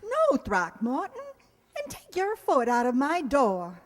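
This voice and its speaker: A woman's voice: sits high, huge pitch swings, slow pace, exaggerated voice. A woman playing a haughty, irritable fiancée who will not give in, with a prim, exasperated delivery in old-time radio comedy style. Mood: firm, indignant. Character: haughty, irritable, unyielding fiancée woken early. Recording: echoey hall with long reverb.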